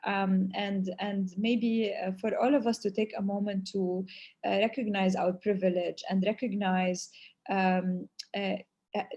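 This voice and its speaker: A woman's voice: mid-range voice, level tone, a little slow, a little mellow, highs cut off. A woman whose first language is Arabic, answering questions at length as a humanitarian speaker in a webinar, earnest and hesitant in phrasing with Arabic filler words.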